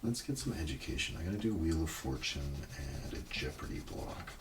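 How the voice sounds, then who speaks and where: A soft-spoken man doing casual ASMR, muttering as if to himself and slipping into whispers, in a roleplay style. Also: animated, a little fast. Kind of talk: ASMR, casual muttering while browsing TV listings. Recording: clear background noise, page rustling and clicks. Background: page flipping and highlighting sounds.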